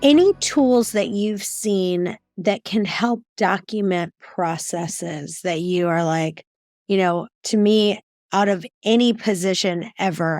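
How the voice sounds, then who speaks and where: A woman's voice: lowish voice, darkish tone, no echo, clean studio sound. A woman speaking conversationally as a podcast host interviewing a guest.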